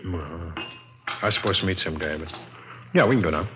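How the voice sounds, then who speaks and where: A man's voice: deep voice, brisk, close to an everyday voice. An actor in an old radio crime drama playing a sleazy, chummy peddler with slangy, wheedling salesman patter. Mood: chummy, dealing. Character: sleazy, chummy male peddler of illicit picture books. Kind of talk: 1950s radio drama acting. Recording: dull, very noisy old radio broadcast.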